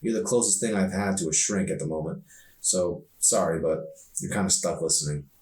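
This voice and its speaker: A man's voice: deep voice, a little fast, darkish tone, close to an everyday voice. Chatty sardonic teenage girl acted by a man, echoey. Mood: confiding, frustrated. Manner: slangy, emphatic, complaining. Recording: roomy echo, distant microphone.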